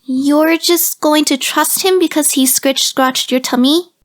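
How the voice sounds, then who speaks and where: High-voiced wary dog played by a woman. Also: steady tone, a little slow. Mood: skeptical. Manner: skeptical, reserved. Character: wary, reserved, sensible female dog, small-voiced and grumbly.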